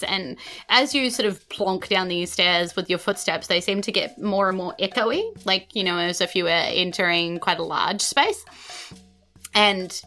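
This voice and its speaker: A New Zealand woman works as game master, improvising scene descriptions for a tabletop fantasy game. Her voice is bright and clear-cut, her delivery conversational, with soft music underneath. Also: lowish voice.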